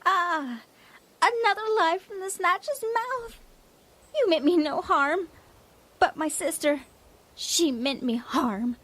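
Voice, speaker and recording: A woman's voice: extremely high pitch, fairly bright tone, exaggerated voice. A woman voicing a domineering, mocking female leader of a savage tribe in a comedic fantasy audio drama. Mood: mocking, menacing. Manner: mocking, imperious, drawn-out delivery.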